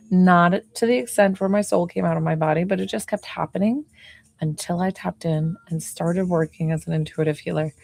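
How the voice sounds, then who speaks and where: A slightly hoarse woman in her forties talks softly and casually, peppering her speech with fillers. Her voice sits low with a gravelly fry. The sound is close and dry, with no room around it. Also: no echo. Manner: talks softly, chatty with many "like"s. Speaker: intuitive healer. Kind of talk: casual live-stream chat.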